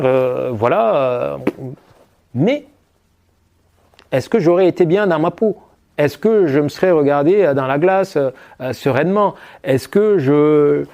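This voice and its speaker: Middle-aged man from Chad, interview guest, gravelly, dark mellow tone, halting with fillers. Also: a little high. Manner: halting, many fillers and restarts. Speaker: interview guest, former army officer. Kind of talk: reflective interview answer.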